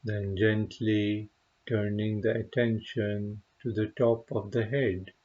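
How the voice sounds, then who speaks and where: A man leading a guided meditation as a teacher, speaking gently and unhurriedly with frequent pauses and a husky voice. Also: on the low side, little pitch movement, muffled recording. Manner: gentle, unhurried, pausing often.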